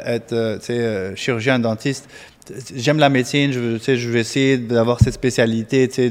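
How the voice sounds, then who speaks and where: Male guest, mid-pitched and chatty, filler-heavy advice, echoey room. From Tunisia. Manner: chatty, many fillers, mixes in English words. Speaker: guest mortgage broker. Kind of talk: casual advice talk. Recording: reverberant room.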